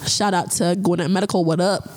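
A woman's voice: on the low side, fairly even intonation, a little fast, darkish tone. A young woman from Atlanta, chatting loosely on a podcast and laughing often. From Atlanta, Georgia. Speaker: guest. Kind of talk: casual podcast chat.

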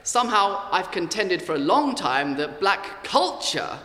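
A man's voice: high voice, very animated, measured pace, pushed and bright, full character voice. A man playing a flamboyant, condescending right-wing provocateur in an audio-play panel debate, with a mocking, theatrical manner. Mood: smug, argumentative. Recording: echoey hall with long reverb.

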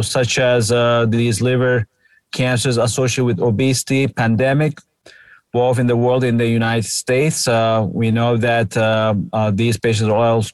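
Middle-aged man with Turkish accent, podcast guest, explains with many pauses and uh's, dry close sound. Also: medium pitch, no room sound. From Turkey. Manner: hesitant, many "uh" fillers, explanatory. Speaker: surgeon guest. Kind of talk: podcast interview.

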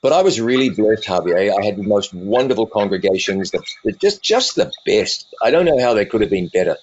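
A middle-aged man with a New Zealand accent softened by years in the US, telling his story in an animated, joking way. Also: on the low side, a little fast, slightly rough, muffled recording. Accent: New Zealand, softened by decades in the US. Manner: animated, self-deprecating, jokey. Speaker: evangelist and TV presenter. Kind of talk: storytelling interview answers.

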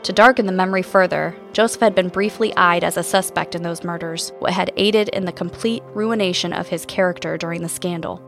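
A woman playing a thoughtful, inquisitive podcast investigator, narrating an audio drama in a measured, reflective way. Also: fairly low pitch, fairly even intonation, vocal fry, music in the background.

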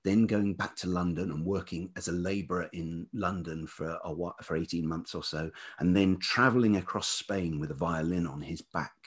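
A man with a velvety voice talking reflectively as an interview guest, thoughtful and halting, often pausing mid-phrase. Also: low-pitched, fairly even intonation, husky. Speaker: podcast guest, writer and life writing teacher.

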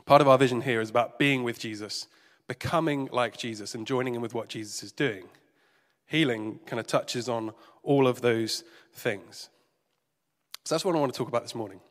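A man preaching a sermon in a gentle, careful, conversational way, sounding a bit congested and nasal. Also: mid-range voice, moderate pace. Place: church service hall. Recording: reverberant hall with faint music.

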